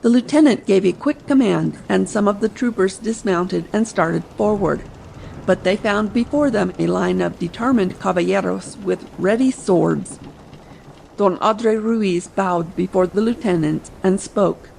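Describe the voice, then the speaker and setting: Creaky-voiced woman narrating adventure. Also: medium pitch, a little slow, a little mellow, slight background noise, low-quality recording. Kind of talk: scripted adventure audiobook narration.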